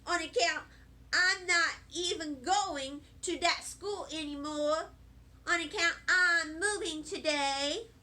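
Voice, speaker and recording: Woman acting a high, bright, feisty little girl. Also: takes it slowly, heavily acted. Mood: defiant. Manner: childish grammar, emphatic. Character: feisty, stubborn, excitable little kindergarten girl narrator. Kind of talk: children's storybook read-aloud.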